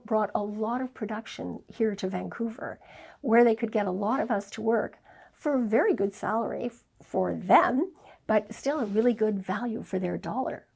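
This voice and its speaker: Gravelly-voiced older woman, candid. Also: mid-range voice, darkish tone. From Scotland. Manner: candid, reflective, with fillers. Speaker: interview guest, documentary filmmaker. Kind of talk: conversational interview.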